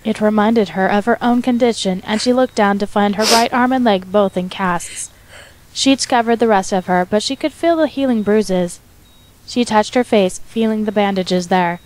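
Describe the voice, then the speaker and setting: A woman's voice: mid-range voice, normal speed, a little hiss or hum, rough recording. A woman reading scripted fiction as the narrator, in a dramatic storytelling style.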